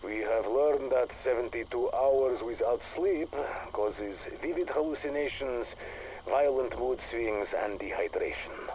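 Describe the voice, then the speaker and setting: A man plays a worn-out interrogator henchman in a game audio log, defensive and fed up. The voice sits at a middle pitch, with faint hiss on the recording. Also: measured pace, a little mellow, natural voice, rough recording. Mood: weary. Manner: weary, defensive. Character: exhausted, exasperated male interrogator henchman.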